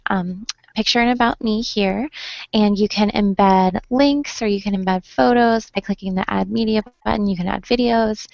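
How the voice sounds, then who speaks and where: A woman's voice: mid-range voice, gravelly, no room sound. A young woman leading an online screen-share training, friendly, with many "um" fillers, heard over a web call. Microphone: computer mic on a video call. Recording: dull lo-fi web call audio.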